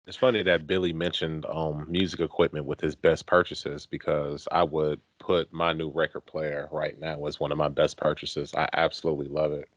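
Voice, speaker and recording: A man with a very deep voice talks casually as a podcast co-host. His delivery is conversational and enthusiastic, recorded close and very dry, with little room sound. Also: level tone, moderate pace, hardly any pauses, dry recording. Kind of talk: casual chat.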